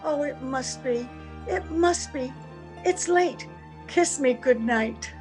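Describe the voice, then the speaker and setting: A woman's voice: high voice, slow pace, a little mellow, faint music behind. A woman playing a youthful, sweet, earnest wife in old-time radio drama, with a theatrical delivery. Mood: tender, wistful. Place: at home, recorded over video call.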